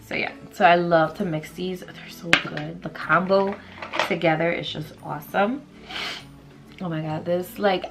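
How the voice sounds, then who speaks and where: Stuffy-nosed woman chatting through a makeup tutorial. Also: lowish voice. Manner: chatty and rambling, many likes and fillers. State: stuffy nose from allergies. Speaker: beauty vlogger. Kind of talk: casual makeup tutorial chat. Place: small bedroom vanity indoors.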